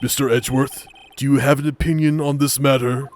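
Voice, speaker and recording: A man's voice: mid-range voice, level tone, a little fast, a little mellow, pitched below the performer's own voice, close to an everyday voice. A man voicing a hesitant old judge in an amateur game dub, formal and ponderous.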